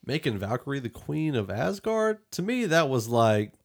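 A man hosting a podcast, casually discussing a film and thinking aloud in a halting way. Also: medium pitch, very animated, vocal fry. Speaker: podcast host.

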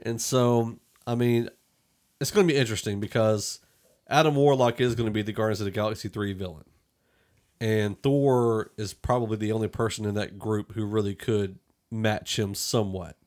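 Man, low pitch, podcast host, casual film discussion, halting, thinking aloud. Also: fairly even intonation, darkish tone.

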